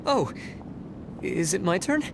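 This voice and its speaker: An actor playing a timid, awkward civil servant in a video game, halting and pedantically precise. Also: male voice, high-pitched, sing-song, gravelly, noisy background, full character voice. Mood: nervous, awkward. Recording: music playing over the dialogue.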